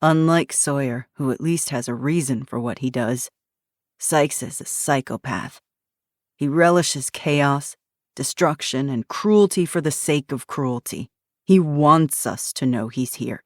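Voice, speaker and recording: A woman's voice: rumbling low, no echo, clean studio sound. A woman doing a grim protective man. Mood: grave. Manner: grave, measured, urgent warnings.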